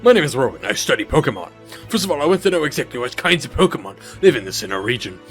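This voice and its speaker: A man's voice: mid-range voice, sing-song, rapid-fire, faint music behind, voice pushed down, close to an everyday voice. A man voicing a stern older professor from a video game, formal and matter-of-fact. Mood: stern, businesslike. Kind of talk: video game character reel.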